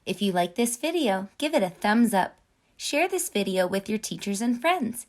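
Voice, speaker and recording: A woman reading a picture book to children in an expressive, deliberate storyteller's delivery. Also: mid-range voice. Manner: clear, deliberate delivery addressed to young children. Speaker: children's read-aloud storyteller and host.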